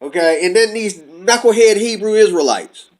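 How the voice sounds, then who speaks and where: A man with a notably high voice talks through a loose, rambling monologue. He works from notes but keeps drifting off track, in the easy manner of a casual commentator. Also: expressive, moderate pace, darkish tone. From United States.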